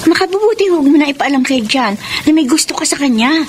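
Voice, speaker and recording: A woman's voice: high-pitched, lively intonation, quick pace, slight background noise. A woman acting a frank, gossipy young provincial cousin and confidante in a Filipino radio drama. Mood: frank, concerned.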